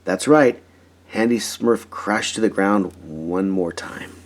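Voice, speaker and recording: A man reading a children's storybook aloud in an expressive, emphatic way. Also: fairly low pitch, slow, a little hiss or hum. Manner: animated, emphatic, dramatizing story moments. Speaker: storybook reader.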